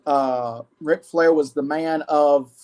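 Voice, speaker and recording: A man's voice: fairly high pitch, fairly even intonation, slow pace, darkish tone. A man in his twenties, a wrestling guest answering earnestly and often repeating himself. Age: 20s. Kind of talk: casual interview.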